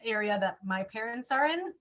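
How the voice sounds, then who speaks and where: A woman's voice: on the high side, animated, measured pace, a bit bright, lo-fi sound. A woman with a Canadian accent, chatting casually and informally as a co-host, with an enthusiastic manner. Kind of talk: casual chat.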